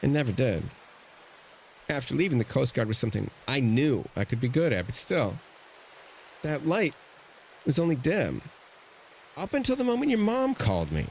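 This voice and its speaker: A man's voice: mid-range voice, big pitch swings, normal speed, gravelly, long pauses, darkish tone, slight background noise, poor audio. An actor playing a protective middle-aged uncle, a former navy man, in an audio drama, earnest and pressing. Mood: reflective, tender.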